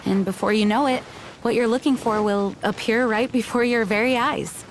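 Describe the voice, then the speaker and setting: An actress playing a composed, earnest young woman knight leader in an anime game, speaking in a measured, articulate way. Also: fairly high pitch, steady tone, a little hiss or hum, lightly acted, rough recording. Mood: reassuring.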